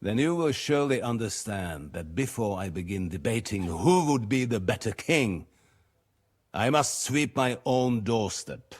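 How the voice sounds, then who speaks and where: Slow, lordly middle-aged nobleman. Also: on the low side, lively intonation, husky. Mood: wry, guarded. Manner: measured, formal, lordly. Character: shrewd, weary middle-aged lord, commanding and measured. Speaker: medieval nobleman. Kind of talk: video game cutscene acting.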